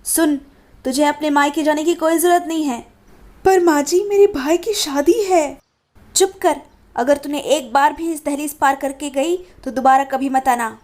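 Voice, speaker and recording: A woman voicing a harsh older village mother-in-law who scolds in a commanding, shrill way. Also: moderate pace, darkish tone, lightly acted. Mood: scornful.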